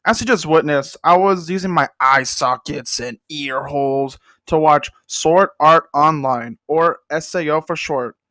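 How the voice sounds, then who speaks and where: Young man, fairly high voice, dry close sound, rambling commentary, mumbled self-deprecating asides. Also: measured pace, hardly any pauses, fairly bright tone, no room sound. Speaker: host. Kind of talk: casual comedic anime commentary.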